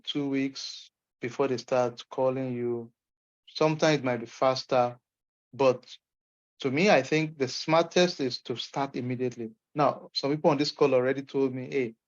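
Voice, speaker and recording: A man from Nigeria with a Nigerian accent, an instructor answering questions in an online session in an emphatic, encouraging way. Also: medium pitch. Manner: encouraging, emphatic, folksy asides. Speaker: course instructor. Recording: muffled lo-fi call audio.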